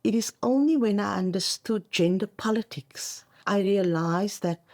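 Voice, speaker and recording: A woman's voice: medium pitch, measured pace, a little mellow. Middle aged south african lady, afrikaans mother tongue, chatty podcast guest, emphatic, repeats herself and checks in with yeah. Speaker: coach, interview guest. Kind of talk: conversational podcast interview.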